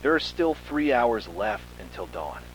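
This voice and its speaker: A man's voice: medium pitch, sing-song, a little slow, a little hiss or hum, low-quality recording. A man reading a scripted second-person horror story in a dramatic, suspenseful manner.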